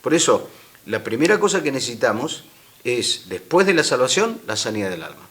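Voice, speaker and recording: A man's voice: mid-range voice, big pitch swings, a little fast. A man with an Argentine accent, a guest speaker, explaining his teaching in an earnest way in a studio interview. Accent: Argentine Spanish. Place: radio studio.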